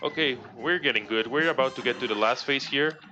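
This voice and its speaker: Spanish-accented Mexican man, 30s, relaxed live gameplay commentary; muffled stream audio over clear game music. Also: medium pitch, fairly quick. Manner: relaxed, chatty, laughs often, reads chat aloud. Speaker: speedrunner.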